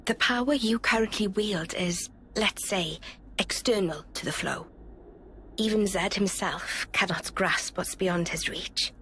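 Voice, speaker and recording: A woman playing a measured, solemn queen. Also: medium pitch, fairly bright tone, slight background noise, lightly acted. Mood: grave. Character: calm regal queen, adult woman.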